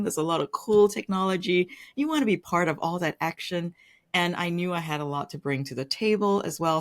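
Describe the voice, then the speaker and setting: A woman from Singapore, a guest on a podcast, telling her career story in a reflective, fluent way, often adding "right?" and "you know". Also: low voice. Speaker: tech executive. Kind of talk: casual interview storytelling.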